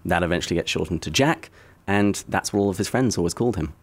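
A man with an English accent and a very low voice talks through history in a measured, explanatory way. He sounds like a podcast co-host walking a friend through a story, unhurried and clear. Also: animated. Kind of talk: casual podcast conversation.

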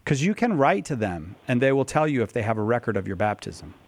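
A man's voice: mid-range voice, very animated, brisk, no room sound. A man hosting a live radio call-in show, chatty and friendly, often correcting himself. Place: radio studio.

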